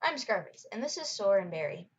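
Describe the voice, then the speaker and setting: A woman's voice: medium pitch, sing-song, a little fast, husky. A grumpy, scarred young female nightwing dragon, voiced by a woman for a read-aloud storybook. She speaks bluntly and keeps her guard up. The recording is dull and lo-fi.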